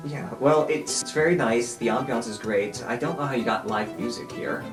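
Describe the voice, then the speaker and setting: A performer voicing a friendly, awkward young magic student, gentle and tentative, with halting phrasing. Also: medium pitch, close to an everyday voice. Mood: shy, friendly. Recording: roomy sound with music behind. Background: guitar music.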